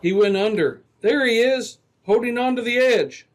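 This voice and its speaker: A man's voice: very high-pitched, moderate pace, background noise. A man playing a brave, decisive Mountie sergeant in an old-time radio adventure, speaking with authority. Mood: steady, reassuring. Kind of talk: re-created old-time radio drama acting.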